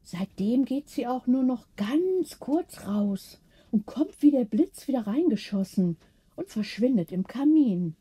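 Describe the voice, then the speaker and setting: A thoughtful storybook mother, voiced by a woman reader. She ponders aloud in a raised, mellow voice with a husky touch, explaining as she goes. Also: fairly high pitch, animated, a little slow, pitched above the performer's own voice. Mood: summing up. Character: thoughtful mother puzzling over the family cat.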